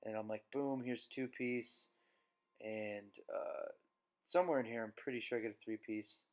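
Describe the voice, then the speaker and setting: A male gaming video host with a medium-pitched voice. He commentates casually and rambles along, muttering bits to himself as he goes. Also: fairly even intonation, moderate pace.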